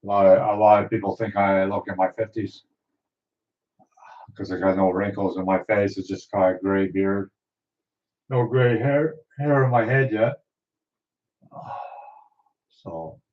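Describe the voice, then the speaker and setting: A man in his late sixties chatting casually with viewers during a livestream, halting and trailing off as he rambles. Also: lowish voice. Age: 60s. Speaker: livestream host. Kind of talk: casual live chat with viewers. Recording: distant, dull lo-fi sound.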